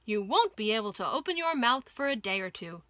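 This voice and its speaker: Woman as a firm, formal storybook dentist, bright-voiced. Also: a little high, very animated, fairly quick, dry recording. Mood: brisk, formal. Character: firm, wary male mouse dentist, proudly professional. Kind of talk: children's storybook reading.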